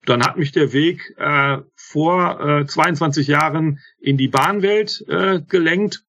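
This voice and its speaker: A man in his fifties, guest on an interview podcast, talking haltingly with many filler sounds and self-corrections. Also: a little high, fairly bright tone, highs cut off. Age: 50s. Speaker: interview guest, rail manager. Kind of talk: casual spoken interview.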